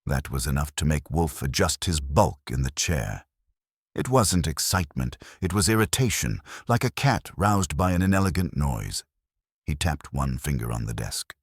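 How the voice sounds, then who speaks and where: A man's voice: extremely low pitch, lively intonation, moderate pace, husky, no echo, clean studio sound. A man narrating a scripted first-person detective story as host.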